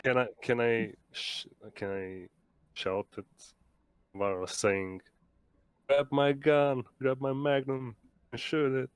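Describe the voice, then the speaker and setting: A man joins in a tabletop game with casual remarks. His medium-pitched voice is clear and smooth, recorded dry. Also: little pitch movement, slow, dry recording.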